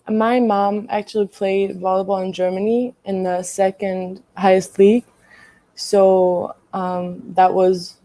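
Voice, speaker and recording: A woman's voice: mid-range voice, dark tone, no room sound. A young woman from Greece, a college volleyball player answering interview questions casually, hesitant with many ums and frequent laughs. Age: young adult.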